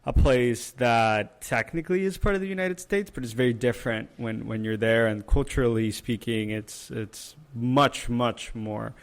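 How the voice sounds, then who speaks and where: A young man, born and raised in Puerto Rico with Spanish as his first language, co-hosts a podcast as a therapist. His voice sits at a middling pitch for a man, and he speaks casually, pausing on "um" and "uh" and often restarting his words. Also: clean tone. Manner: hesitant, many "um" and "uh", repeats words. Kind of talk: casual chat.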